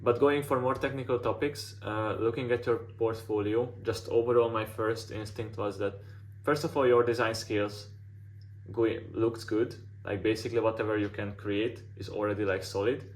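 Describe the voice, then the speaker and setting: A man's voice: fairly low pitch, steady tone, normal speed, slight background noise. A man who is not a native English speaker, giving casual portfolio feedback as a mentor with lots of "like" fillers and restarts.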